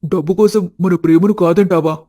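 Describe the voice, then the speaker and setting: A male actor plays an earnest young man in love in a cartoon story. His voice sits a little high for a man, yet it is soft and mellow. The recording is dry and close. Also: fairly even intonation, moderate pace, dry recording. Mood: hurt, pleading. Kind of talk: scripted cartoon story dialogue.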